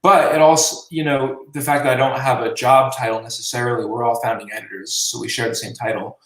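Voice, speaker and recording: A man's voice: medium pitch, flat delivery, fast, recorded from a distance. Roomy, rambling young man. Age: 20s. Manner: rambling, self-deprecating, many fillers. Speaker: guest, musician and journalist. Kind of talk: casual interview chat. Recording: roomy and from the mic.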